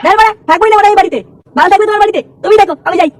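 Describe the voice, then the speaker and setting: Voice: extremely high pitch, very fast. An actor voicing a cheeky, foul-mouthed, whiny boy who is always broke and scheming, in a comic cartoon dub. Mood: demanding, defiant.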